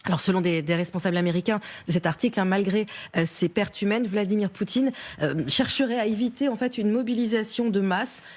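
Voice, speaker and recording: A woman's voice: mid-range voice, fairly quick, hardly any pauses, darkish tone. A woman journalist giving a prepared news explainer in a studio discussion, often punctuating phrases with small tags. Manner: punctuates sentences with a small "hein".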